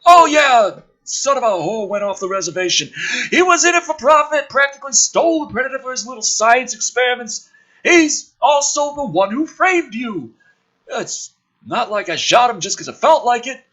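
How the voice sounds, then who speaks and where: A man's voice: very high-pitched, lively intonation, moderate pace, bright, forceful tone, pitched above the performer's own voice, full character voice. A man voicing a smug, chatty, foul-mouthed government operative in a mocking script read, with flippant, exaggerated emphasis. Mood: smug, brash.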